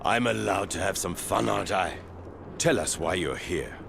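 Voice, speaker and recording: An actor playing a gruff, joking chief of a fantasy watch patrol in a video game, teasing and sardonic. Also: male voice, low voice, moderate pace, noisy background. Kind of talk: video game cutscene acting.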